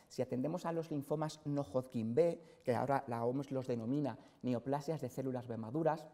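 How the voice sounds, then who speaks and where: A middle-aged man from central Spain delivers a careful medical lecture, steady and explanatory, filling pauses with soft hesitations. His voice is mellow and dark. A long reverb from the hospital auditorium trails behind every phrase. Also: medium pitch. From Spain, Castilla-La Mancha. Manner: measured, explanatory, frequent "eh" fillers. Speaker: hematologist lecturer. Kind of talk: scientific seminar lecture.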